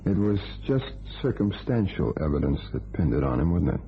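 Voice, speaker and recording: A scheming, hardboiled ex-con in a crime radio play. His voice is extremely low and full of gravelly creak, with tough, terse phrasing. It comes through thin and tinny, like an old radio. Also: very animated, moderate pace, slight background noise, heavily acted. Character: Rusty Connors, scheming hardboiled male ex-convict. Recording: tinny band-limited old radio, music behind.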